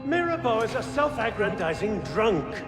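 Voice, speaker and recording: A man's voice: sits high, very animated, normal speed. An actor playing a zealous, scheming male conspirator in a video game, oratorical, scornful and commanding. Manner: oratorical, theatrical. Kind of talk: cinematic video game dialogue. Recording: echoey chamber, loud music.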